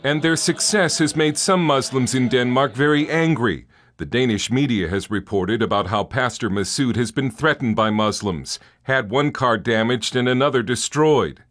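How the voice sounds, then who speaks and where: A man narrates a television news feature in a steady, measured reporter's voice at a mid pitch. Each line is delivered evenly, as if from a script.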